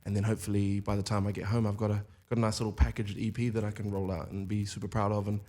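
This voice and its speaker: Fast-talking young New Zealand man. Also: on the low side. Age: 20s. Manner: relaxed, with frequent "uh" fillers. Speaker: musician guest. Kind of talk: casual interview answers. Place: radio studio. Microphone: studio microphone with headphones.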